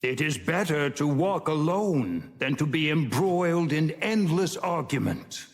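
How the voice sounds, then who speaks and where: A man's voice: a little high, lively intonation, unhurried. A man playing an ancient, imperious sage with divine power in a video game, speaking in stern, solemn, grandiose proclamations. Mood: solemn, instructive. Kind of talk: theatrical game voice lines. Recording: long cavernous reverb on the voice.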